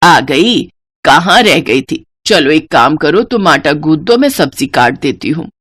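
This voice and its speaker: Casting: female narrator as nagging middle-aged mother-in-law, gullible and bossy, lowered pitch, storybook dialogue. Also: lively intonation, unhurried, a bit bright, slightly rough, full character voice. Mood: brisk, approving. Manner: bossy, nagging, easily fooled. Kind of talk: acted dialogue in a Hindi moral story.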